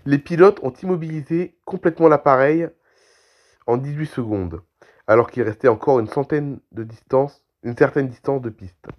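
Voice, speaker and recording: A man with a cold reads aviation news aloud, clearing his throat and correcting himself as he goes. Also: mid-range voice, normal speed, lo-fi sound. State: has a cold, coughing. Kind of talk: reading aviation news with commentary.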